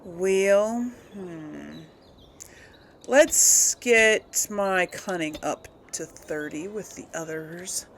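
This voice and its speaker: A woman's voice: lowish voice, extremely slow, slight background noise. A woman hosting a casual let's play, musing and thinking aloud as she goes. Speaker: gaming video host. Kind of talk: casual let's play commentary, some role-played replies.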